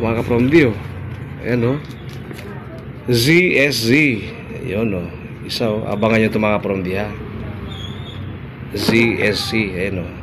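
A man's voice: medium pitch, noisy background. A middle-aged Filipino man chatting casually through an unboxing vlog, repeating short phrases. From Philippines. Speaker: barber vlogger.

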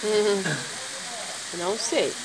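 A woman's voice: mid-range voice, slow. A woman chatting casually as a co-host, with short, agreeable, appreciative reactions. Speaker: co-host filming the cook. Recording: loud frying sizzle and stirring noise.

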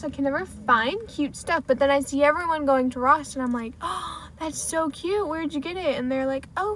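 A woman's voice: sits high, pushed and bright, slight background noise. A woman in her twenties with an American accent talks casually to camera in a car, in a chatty way peppered with "like". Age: 20s. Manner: chatty, frequent "like" as filler. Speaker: salon assistant vlogger. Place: inside a parked car.

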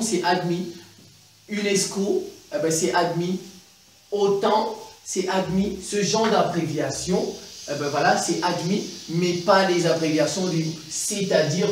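A middle-aged man with a Central African French accent giving an animated chalkboard lesson, repeating points with many fillers. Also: a little high, far from the mic, slight background noise. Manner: animated, gesturing, repeats points with frequent "eh ben, voilà". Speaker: teacher. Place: classroom in front of a chalkboard. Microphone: far camera. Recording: very echoey room, voice from afar.

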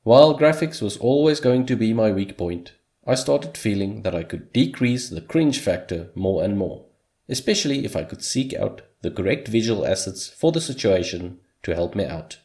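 Male voice-over host, native Afrikaans speaker, slightly low register, steady scripted delivery with dry humor. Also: normal speed. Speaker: hobby game developer, channel host.

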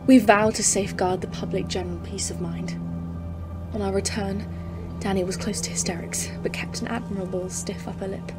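A woman's voice: mid-range voice, fairly even intonation, a little fast, close to an everyday voice. Woman acting a grave professor narrating polar horror. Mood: grave, haunted. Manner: formal, literary, intense. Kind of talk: dramatic audio play narration and dialogue. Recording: music under the voice. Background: dramatic music.

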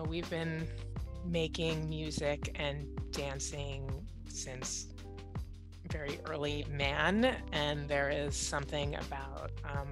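A woman in her thirties speaks candidly and with self-deprecating humor, her voice sitting notably low for a woman. She is on a headset mic in a home room lined with bookshelves. Clear music plays underneath. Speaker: guest writer. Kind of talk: casual interview over video call. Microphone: headset mic on video call.

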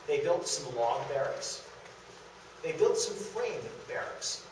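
Male history lecturer, slightly high voice, very echoey room, picked up from a distance. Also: expressive, slow pace, background noise. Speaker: lecturer presenting a history talk. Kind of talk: illustrated public lecture. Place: indoor meeting room of a historic house. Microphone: camera across the room, far from speaker.

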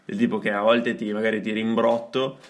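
A man in his twenties chatting casually as a radio co-host, in a halting, reflective way. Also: on the low side, level tone. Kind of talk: casual chat.

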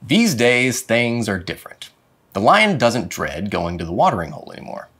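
A man reading a children's picture book aloud with dramatic, expressive phrasing. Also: medium pitch, moderate pace, slightly rough. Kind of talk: expressive storybook read-aloud. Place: indoor studio with plain dark backdrop.

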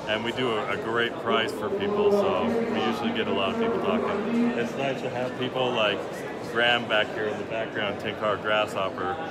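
A man's voice: on the low side, expressive, normal speed. An American man in his thirties casually presenting products as an exhibitor being interviewed. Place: large busy indoor trade show hall. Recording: very loud convention crowd behind.

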